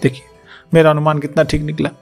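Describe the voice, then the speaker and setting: A man voicing a pushy, flattering, greedy rich businessman in an audiobook, insistent and wheedling. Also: fairly high pitch, fast, vocal fry, husky, faint music behind, pitched above the performer's own voice. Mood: coaxing, persuasive. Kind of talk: audiobook character acting.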